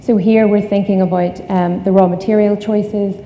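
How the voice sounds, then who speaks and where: A woman's voice: mid-range voice, fairly even intonation, normal speed, gravelly, dark tone. A woman with an Irish accent giving a conference talk, explaining steadily with many ums. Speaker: conference speaker. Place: conference hall. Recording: echoey hall, distant, faint noise.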